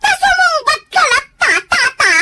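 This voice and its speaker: A woman's voice: extremely high pitch, very animated, normal speed, very noisy. A woman with an Ilocano accent playing a nosy, lazy, easily offended village gossip in an audio drama, whiny and needling. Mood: indignant, complaining. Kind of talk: Filipino audio drama dialogue.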